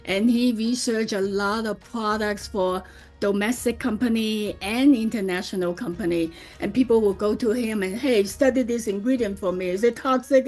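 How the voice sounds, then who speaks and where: A woman's voice: on the high side, darkish tone. Middle-aged woman, earnest. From Hong Kong. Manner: earnest, rambling, frequent "you know". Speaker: skincare brand founder. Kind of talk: podcast interview.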